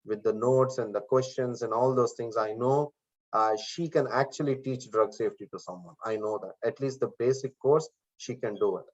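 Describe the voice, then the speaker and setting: A man's voice: mid-range voice, normal speed. Frank Indian-accented man coaching an online class. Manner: direct, repeats himself, frank motivational tone. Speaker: course instructor. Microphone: video call. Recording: dull lo-fi video call audio.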